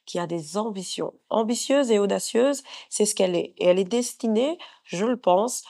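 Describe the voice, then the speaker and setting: A woman presents a faith-based talk show in a medium-pitched voice. Her delivery is formal and ceremonious. She phrases things earnestly and with emphasis, as a host addressing guest and viewers. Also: darkish tone.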